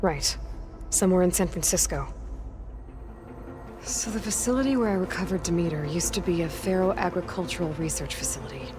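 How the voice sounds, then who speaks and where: A woman plays a young hunter heroine with a fairly low voice. She is matter-of-fact, earnest and determined, in a natural game-cutscene style. Music plays behind her. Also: fairly quick, natural voice. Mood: inquisitive. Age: young adult. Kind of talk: naturalistic video game acting. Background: background music.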